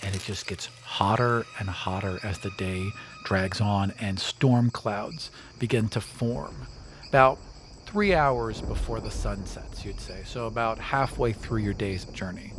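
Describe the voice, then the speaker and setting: A man's voice: on the low side, a little slow, background noise. Man narrating a jungle tabletop game. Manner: relaxed descriptive narration with casual asides. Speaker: game master.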